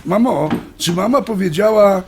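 An actor playing a bewildered, pleading grown son in an audio drama, stammering and whiny with a creaky voice. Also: male voice, high-pitched, normal speed, a little mellow, slight background noise, lightly acted. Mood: flustered. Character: bewildered, pleading adult son and boyfriend, easily flustered.

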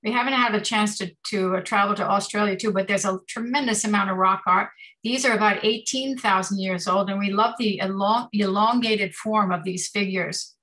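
A woman's voice: mid-range voice, steady tone, moderate pace, a bit bright, low-quality recording. A woman artist giving informal commentary on art slides over a video call.

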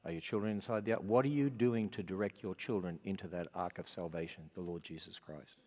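A man's voice: deep voice, fairly quick, darkish tone. An older man with an Australian accent, closing a sermon and then making announcements in an earnest, conversational way with many fillers. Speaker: speaker. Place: large auditorium. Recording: dull sound with long hall reverb.

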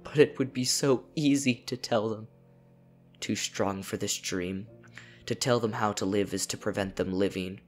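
Male narrator, lower register, theatrical dramatic poetry reading with deliberate pauses, music underneath. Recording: music behind the voice.

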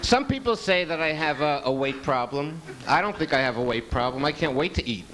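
A man's voice: a little high, fairly quick. A middle-aged man from Queens, doing a self-deprecating stand-up set on a small club stage into a handheld mic, the audience laughing. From Queens, New York. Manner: self-deprecating, deadpan delivery. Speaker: stand-up comedian. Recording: loud noisy live club recording.

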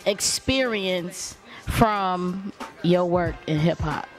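A woman's voice: on the low side, soft background music. Friendly young woman host interviewing. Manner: friendly, encouraging, quick affirmations. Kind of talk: casual interview questions. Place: lounge room on a leather couch. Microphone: handheld mic.

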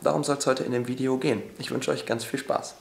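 Hoarse young man opening his vlog. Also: medium pitch, fast talker. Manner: relaxed, hesitant with fillers. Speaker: vlog host. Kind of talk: casual vlog intro. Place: living room at home. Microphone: camera held at arm's length. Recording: echoey room.

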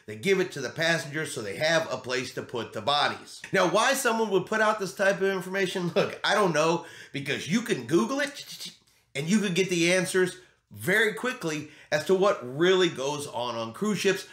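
A man's voice: a little high, lively intonation, moderate pace, a bit bright. A middle-aged man explaining things to camera in an emphatic way, repeating his key points as a vlog host. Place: small home studio room, indoors. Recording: roomy indoor echo.

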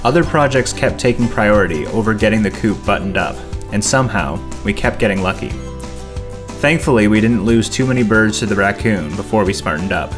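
Low-voiced man narrating over guitar music. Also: lively intonation, brisk. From Canada. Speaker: homesteader and narrator. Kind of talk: scripted narration.

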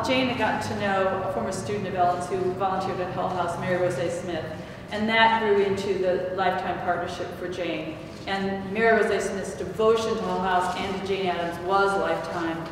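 An older woman speaking on a panel and answering audience questions, conversational, with frequent restarts and self-corrections. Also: lowish voice. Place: large echoey hall with stone walls. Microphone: table mic, picked up from a distance. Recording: echoey hall, distant mic, audible noise.

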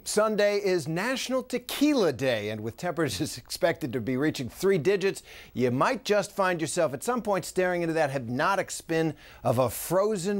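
A man anchoring a late-night news program from a TV studio, reading introductions in a crisp announcer delivery. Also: fairly high pitch.

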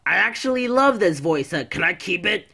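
A man plays a badger girl from a cartoon who has turned manly and very pleased with it. The voice is gravelly and husky, with a forceful edge. The delivery is smug and full of swagger. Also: sits high, big pitch swings, moderate pace, full character voice.

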